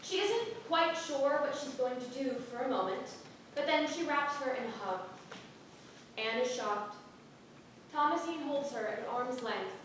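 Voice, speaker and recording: A woman's voice: high-pitched, unhurried, a bit bright. A woman reading stage directions as the scripted narrator of a staged play reading in a theatre. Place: theatre stage, recorded from the audience. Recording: echoey hall, distant mic, faint noise.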